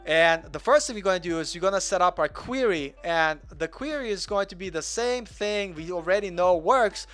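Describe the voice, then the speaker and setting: A man's voice: sits high, animated, normal speed, fairly bright tone, faint music behind. Chatty young man walking through code. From Russia. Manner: chatty, rambling, self-deprecating. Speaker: coding tutor. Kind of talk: casual live coding walkthrough.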